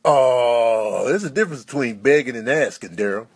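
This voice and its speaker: A man voicing a smooth-talking, insistent dog who pleads wordily, in a comic animal voice-over. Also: medium pitch, lively intonation, moderate pace, voice pushed down. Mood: coaxing. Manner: coaxing, wordy, insistent.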